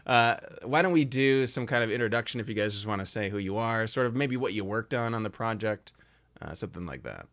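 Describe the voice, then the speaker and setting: Low-voiced rambling male host. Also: lively intonation, a little fast, a bit bright. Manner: rambling, hesitant, lots of fillers. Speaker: podcast host. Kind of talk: casual chat.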